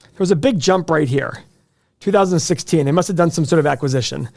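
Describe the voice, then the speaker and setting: Guy with a pretty high voice doing live market talk, explains stuff right to viewers, chatty. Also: brisk, creaky, a little mellow. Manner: conversational, explains by addressing viewers directly. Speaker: finance show host. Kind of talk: live stock earnings commentary.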